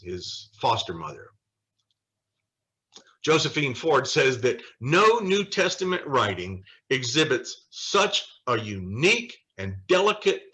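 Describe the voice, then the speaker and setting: Bright-voiced American man teaching Bible study. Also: mid-range voice. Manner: conversational, halting, with frequent pauses and emphatic asides. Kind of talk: informal teaching with quoted scripture and scholars.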